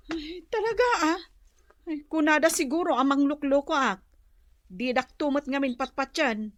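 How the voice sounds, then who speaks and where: Sharp-tongued Ilocano scold, radio comedy drama, high piercing voice, dry studio sound. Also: female voice, dry recording, full character voice. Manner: scolding, exclamatory.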